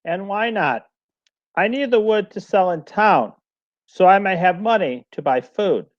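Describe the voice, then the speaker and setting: A man playing a poor, impulsive woodcutter husband in a storybook read-aloud, plain-spoken and expressive. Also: sits high, a little slow. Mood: questioning. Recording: dull lo-fi recording.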